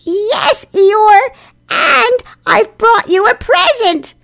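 A woman voicing a small, timid, anxious young piglet from a children's storybook, thin and squeaky, with an earnest, fretful manner. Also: extremely slow, fairly bright tone, heavily acted. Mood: eager. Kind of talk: children's storybook character reading.

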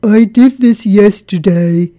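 A woman voicing a gloomy, weary old donkey from a children's storybook, ponderous and mournful, with a sardonic, deadpan manner. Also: mid-range voice, takes it slowly, lightly acted. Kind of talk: children's storybook character reading.

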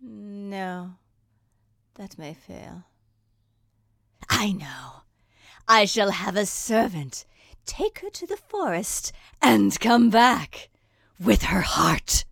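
A woman playing a cold, scheming evil queen from a storybook, speaking deliberately and theatrically. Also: medium pitch, big pitch swings, creaky, a bit bright, no echo, studio quality, exaggerated voice. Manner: slow, deliberate, dramatic. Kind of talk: children's storybook character reading.

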